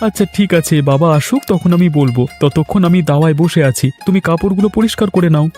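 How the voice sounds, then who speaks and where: A man's voice: a little high, fast, a little mellow, soft background music, lightly acted. A man voicing an easygoing, dutiful young villager in a cartoon story. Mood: happy, eager. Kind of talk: Bengali moral-story cartoon voice acting.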